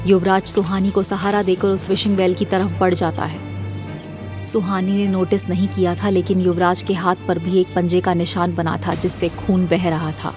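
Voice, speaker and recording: A woman narrates a scripted drama story. Her voice is slightly low and keeps to a very even, flat pitch, with soft music underneath. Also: moderate pace.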